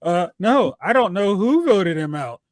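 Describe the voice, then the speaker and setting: A man's voice: high-pitched, sing-song, a little slow, dry recording. Man with a close, dry sound. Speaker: host of a movie talk show. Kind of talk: casual movie chat and news recap.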